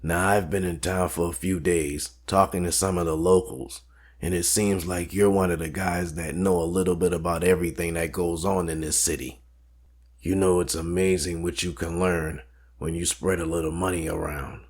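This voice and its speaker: A man acts out a smooth mob fixer for a crime audio drama. His voice is very deep with a rough, husky edge. He talks formally and deliberately, polite on the surface and coolly threatening underneath. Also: little pitch movement. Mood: cool, controlled menace.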